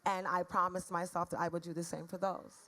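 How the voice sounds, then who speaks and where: A woman from the Caribbean with a raspy, somewhat low voice. She speaks as a panelist, earnest and frank, telling her own story to a room. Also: level tone, a little fast, bright, forceful tone, slight background noise.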